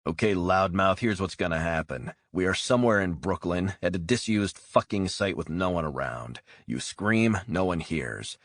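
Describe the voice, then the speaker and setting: A man voicing a hard male interrogator who talks slowly and threateningly. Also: sits low, animated, fairly bright tone, no room sound. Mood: menacing. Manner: cold, slow and threatening.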